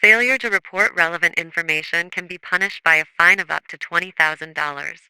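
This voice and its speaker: A man playing a grave government emergency broadcast announcer, reading a scripted alert in a formal, measured, official manner. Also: fairly high pitch, sharp tone, clear, resonant tone, no echo, soft background music, low-quality recording.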